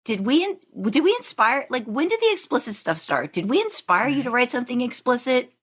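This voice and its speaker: A woman hosting a podcast, asking informal, halting questions in a casual chat. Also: sits high, big pitch swings, a bit bright, husky. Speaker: podcast host, interviewer.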